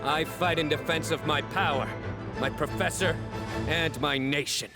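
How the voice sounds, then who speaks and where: A man's voice: on the high side, fairly even intonation, a little slow, over loud music, lightly acted. A man playing a proud, stoic general in a video game, with a serious, composed delivery. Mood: solemn, resolute. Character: stern, stoic male general, proud and dutiful.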